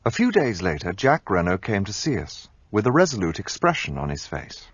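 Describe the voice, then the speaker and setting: An actor playing an earnest army captain in a radio play, asking eager questions and narrating parts of the story. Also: male voice, lowish voice, wildly swooping pitch, brisk, breathy edge, dry recording, dull audio, exaggerated voice.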